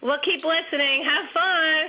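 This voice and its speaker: Woman, caller, joking, very high voice, noisy tinny phone line. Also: unhurried, a loud crowd. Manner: joking, teasing. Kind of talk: phone call to a radio show.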